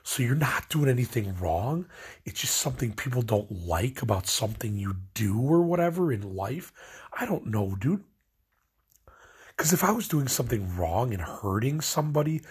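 A man's voice: medium pitch, animated, normal speed, slightly rough. A young man from Illinois, stoned and ranting in a meandering, casual way, filling his talk with "like" and trailing off mid-thought. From Illinois, USA. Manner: meandering, filler-heavy, lots of "like". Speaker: vlogger. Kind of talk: rambling late-night rant.

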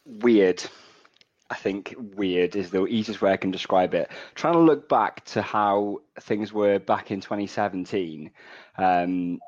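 A man's voice: fairly low pitch, a little mellow, dry recording, muffled recording. A man from Wales speaking as a podcast guest, reflective and hesitant, often restarting his phrases. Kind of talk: casual podcast chat.

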